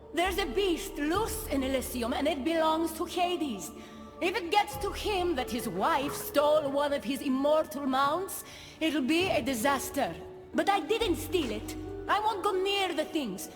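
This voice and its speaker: A woman playing a poised, gracious, possessive goddess queen of a paradise realm in a video game cutscene. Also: high voice. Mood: indignant, disdainful. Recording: long reverb, music behind.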